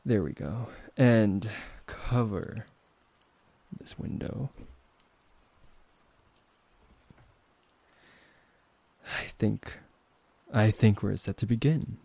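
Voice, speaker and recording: A man acting out a smooth-talking, possessive vampire professor in an intimate ASMR roleplay, politely condescending and teasing, close to the microphone. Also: fairly low pitch, warm tone, no echo, full character voice. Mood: hushed, controlled. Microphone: close microphone, dry.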